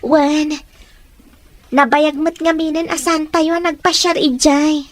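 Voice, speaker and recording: A woman's voice: sits high, flat delivery, slow pace, no echo. A woman voicing a young college student who talks politely and cheerfully with her father and friends. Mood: friendly.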